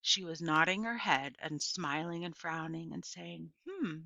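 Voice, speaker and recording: A middle-aged woman reading a children's novel aloud as expressive storytelling, with deliberate, emphatic phrasing. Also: low-pitched, vocal fry, no echo, highs cut off. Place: small indoor room with bookshelves.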